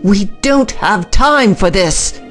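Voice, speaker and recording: A woman's voice: mid-range voice, expressive, slow pace, faint music behind. A woman playing an impatient scientist, a villain's accomplice, in an audio drama.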